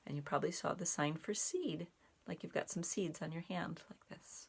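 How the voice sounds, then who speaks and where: A woman with a low, noticeably creaky voice works as a storyteller and teacher. She explains things simply, in the manner of someone talking to young children. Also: moderate pace. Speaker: storyteller and sign language teacher. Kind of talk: picture-book read-aloud and casual sign language teaching.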